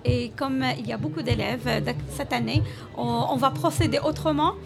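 A woman's voice: a little high, brisk, creaky, over music. A woman from Morocco whose first language is Arabic, a language teacher answering interview questions with frequent hesitations.

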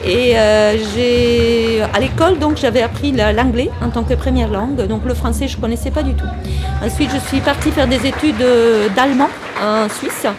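Woman telling her story calmly. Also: a little high, moderate pace, music in the background. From Italy. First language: Italian. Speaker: language teacher guest. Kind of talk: interview answers.

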